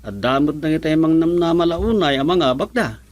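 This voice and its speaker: A man's voice: on the high side, expressive, fairly quick, lightly acted. A man with a Filipino accent acting as a friendly, confident village politician in a comedy radio drama, chummy and colloquial. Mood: encouraging.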